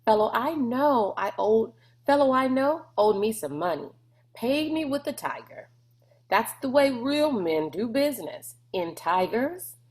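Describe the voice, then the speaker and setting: A woman voicing a gruff, boastful, bullying man in a storybook, with a folksy, mocking swagger. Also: on the high side, expressive, unhurried, a little mellow, pitched above the performer's own voice.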